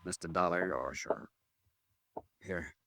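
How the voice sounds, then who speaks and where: Low-voiced deferential manservant. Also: male voice, slow pace, sharp tone, heavily acted. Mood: subdued, obedient. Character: deferential, meek male servant of a rich invalid. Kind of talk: classic radio drama acting.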